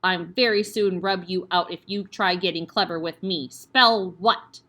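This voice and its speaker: A woman's voice: on the low side, fairly even intonation, normal speed, a bit bright, natural voice. A woman voicing a huge, bullying headmistress who barks and snaps with contempt. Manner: barking, snapping, contemptuous.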